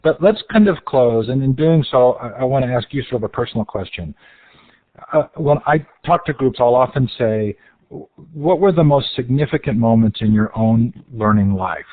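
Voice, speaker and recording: A man's voice: medium pitch, lively intonation, moderate pace, no echo, phone-quality sound. A man hosting an interview, asking long, casual, searching questions. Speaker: interview host.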